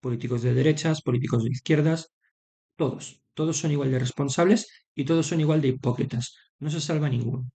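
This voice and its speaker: A man with a Madrid Spanish accent giving unscripted political commentary over slides, halting, drawing out words and often adding short checking tags. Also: medium pitch, gravelly, mellow. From Madrid, Spain.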